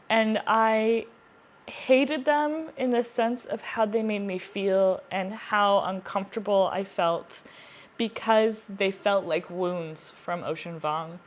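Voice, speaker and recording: Woman chatting casually about books. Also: fairly high pitch. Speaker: book reviewer, video host. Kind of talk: casual chatty book review to camera.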